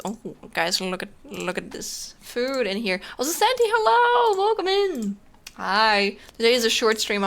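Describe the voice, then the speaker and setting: A woman's voice: medium pitch, a bit bright. A young German woman, a native German speaker with a German accent, chatting casually with her stream viewers in a rambling way full of fillers. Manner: rambling, filler-heavy, frequent laughter.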